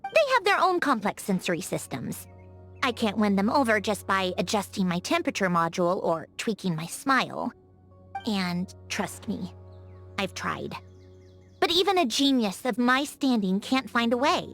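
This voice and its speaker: An actress voicing a haughty, prickly young genius lady automaton in a video game, huffy and easily flustered, with a secretly soft heart. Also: medium pitch, sing-song, normal speed, faint music behind. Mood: sheepish, huffy. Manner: haughty, huffy, flustered when caught being kind. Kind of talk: scripted video game character voice acting.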